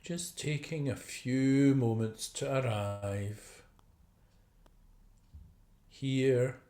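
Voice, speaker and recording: A man leading a guided meditation as a teacher, speaking gently and unhurriedly with long pauses. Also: medium pitch. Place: indoor room. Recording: roomy, echoey video call recording.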